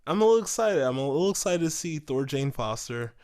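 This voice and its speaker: Lively-pitched man in casual chat. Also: fast talker. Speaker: podcast co-host.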